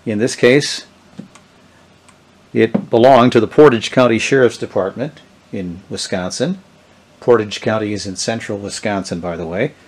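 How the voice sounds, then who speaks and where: A middle-aged man gives a casual, unscripted product demonstration, haltingly thinking aloud as he goes. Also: fairly low pitch, breathy edge. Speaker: presenter of a vintage electronics channel. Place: indoors, small tabletop product-shooting setup.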